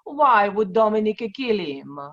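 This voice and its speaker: Woman playing a slow, anguished Sicilian woman, muffled. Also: medium pitch, sing-song, background noise. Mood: defensive. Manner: halting, theatrical, emotional. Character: anguished, bitter, proud Sicilian woman in love. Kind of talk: 1940s radio drama acting. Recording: dull, muffled video-call audio.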